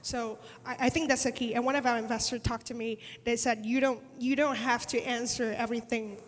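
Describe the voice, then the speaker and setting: A woman's voice: fairly high pitch, steady tone, brisk. A woman attorney speaking on a conference panel, emphatic and repeating words as she presses her points. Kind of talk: panel discussion. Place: large conference hall. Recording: conference hall reverb.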